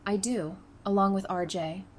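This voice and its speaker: A woman acting a frank, dry-witted guard with a quietly caring side in an audio drama, speaking directly. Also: mid-range voice, steady tone, normal speed, lightly acted. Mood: matter-of-fact. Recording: faint background noise.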